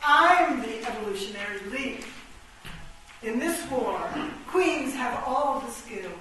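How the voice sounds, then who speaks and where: A woman's voice: on the high side, animated, slow, sharp tone, recorded from a distance, heavily acted. A woman playing a haughty, defiant chess queen, imperious and dramatic, in a comic radio play. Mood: proud. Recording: echoey, noisy stage recording from afar.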